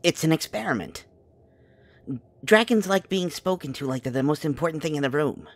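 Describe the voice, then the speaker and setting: A man playing an exasperated, wheedling fast-talker trying to plead his case in an audio drama. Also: fairly high pitch, lively intonation. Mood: exasperated, explaining.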